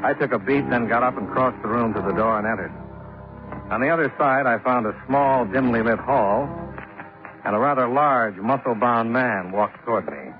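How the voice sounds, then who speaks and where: An actor playing a wry, hard-boiled, unflappable private investigator in deadpan 1950s radio noir style. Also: male voice, mid-range voice, normal speed, natural voice. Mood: wry narrating. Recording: tinny old radio recording, music behind.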